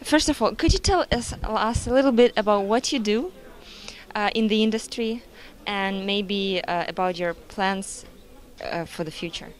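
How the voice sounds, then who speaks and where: Woman asking interview questions, polite, middle pitch. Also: moderate pace.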